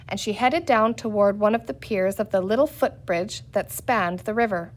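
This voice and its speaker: A woman reading a children's adventure story aloud in an expressive, scripted narrating style. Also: a little high. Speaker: audiobook reader.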